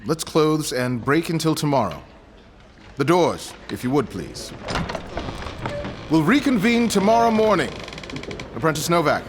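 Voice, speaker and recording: An actor playing a curt, authoritative academy master in an audio drama, giving orders with controlled politeness. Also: male voice, on the high side, very animated, background noise. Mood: curt, official. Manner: measured, commanding politeness.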